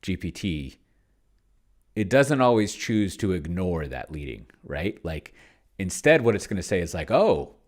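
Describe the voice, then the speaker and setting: A man giving casual live commentary as he plays a tabletop game solo, rambling and hesitant as he thinks out loud. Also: low voice, big pitch swings, unhurried. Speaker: solo tabletop game player and video host.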